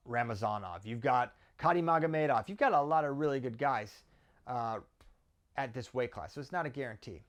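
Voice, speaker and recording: An American man hosts a sports commentary in a mid-pitched voice. He talks conversationally, working through his argument with rhetorical questions and quick check-in tags. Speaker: wrestling commentator and host. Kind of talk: conversational sports analysis to camera.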